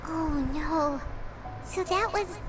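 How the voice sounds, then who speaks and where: A very high, unhurried little girl's voice for a video game. She is an anxious, plaintive granddaughter who exclaims with concern, with music playing underneath. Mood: distressed. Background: background music.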